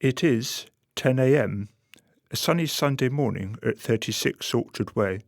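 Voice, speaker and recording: A man narrating a scripted radio play in a measured storytelling manner. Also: mid-range voice, gravelly, no room sound, clean studio sound.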